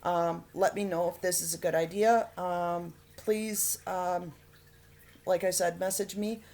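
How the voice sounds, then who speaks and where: Halting earnest woman making an appeal. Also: fairly low pitch, fairly even intonation, darkish tone. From Alberta, Canada. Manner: halting, many fillers and pauses; earnest, pleading. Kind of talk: unscripted appeal to camera.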